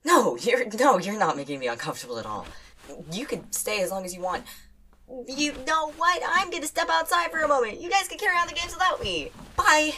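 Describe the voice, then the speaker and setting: A woman playing a tired, guarded young friend with wry, deadpan humour in an audio drama. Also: high voice, fairly quick, a little hiss or hum, full character voice. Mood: flustered. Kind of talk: naturalistic audio drama acting.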